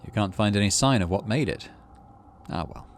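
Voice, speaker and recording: A man's voice: on the low side, normal speed, slight background noise. A man, middle-aged, doing playthrough commentary and reading game text aloud expressively. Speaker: gaming channel host.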